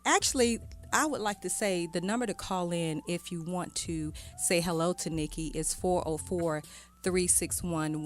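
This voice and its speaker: A woman hosting a casual radio interview, putting questions to a guest in an affirming, conversational way. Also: lowish voice. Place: radio studio. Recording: music playing behind. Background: music.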